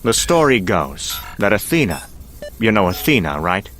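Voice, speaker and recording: A man acting as a menacing, grandiose scientist-industrialist in a comic-book drama, speaking theatrically with deliberate pauses. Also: lowish voice, very animated, a bit bright, soft background music. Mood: theatrical storytelling.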